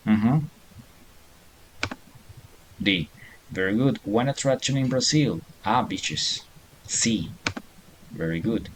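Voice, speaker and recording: A man's voice: on the low side, a little hiss or hum, poor audio. A middle-aged man teaching a beginner English class online, patiently encouraging students and reading answers aloud.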